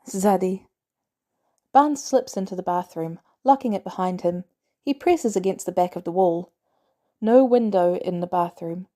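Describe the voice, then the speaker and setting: A woman reading fiction aloud as an audiobook narrator, in an expressive storytelling style. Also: on the low side, moderate pace. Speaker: audiobook reader.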